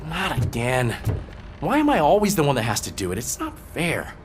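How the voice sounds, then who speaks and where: An actor playing a weary, soft-hearted male police officer in a video game, hesitant and apologetic. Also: fairly high pitch, huge pitch swings, noisy background. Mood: self-pitying. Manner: hesitant, halting.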